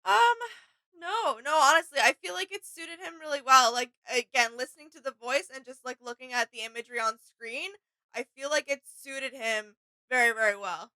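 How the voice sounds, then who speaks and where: A woman's voice: sits high, normal speed, sharp tone, no echo, low-quality recording. A woman co-hosting a reaction video with casual, conversational commentary.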